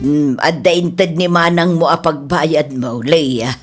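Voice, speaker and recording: A woman's voice: sits low, slow pace, music in the background, pitched below the performer's own voice. A woman voicing a weary, patient middle-aged mother in a radio drama, plaintive and placating. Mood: weary, tender. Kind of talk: amateur radio drama acting.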